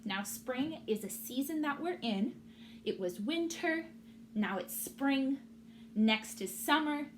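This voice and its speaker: A woman with a high, very animated voice reads aloud to young children at a slow pace. She pauses to explain things simply, as a teacher would, with faint noise behind her. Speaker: teacher reading a picture book aloud.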